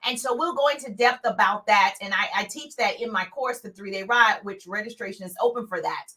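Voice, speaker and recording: A woman motivational speaker and business coach with a big, full voice, giving an energetic live-stream pep talk straight to her viewers. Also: medium pitch, fairly quick. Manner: energetic, preachy, talks straight to viewers with repeated calls to share.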